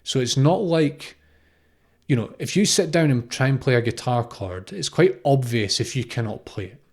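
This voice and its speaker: A man's voice: mid-range voice, expressive, normal speed, darkish tone. A man in his thirties from Scotland gives an unscripted, informal spiritual talk, explaining reflectively and often repeating phrases or posing questions. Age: 30s. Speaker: spiritual teacher, podcast host.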